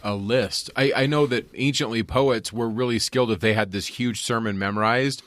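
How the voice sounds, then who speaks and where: A man's voice: medium pitch, normal speed, a bit bright, dry recording. A man hosting a podcast, talking in a curious, conversational way and thinking aloud with his guest. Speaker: podcast host.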